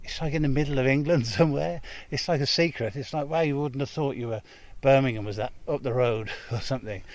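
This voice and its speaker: A man's voice: medium pitch, brisk, no room sound. A man, a guest musician, chatting casually and laughing often during an interview on an outdoor walk.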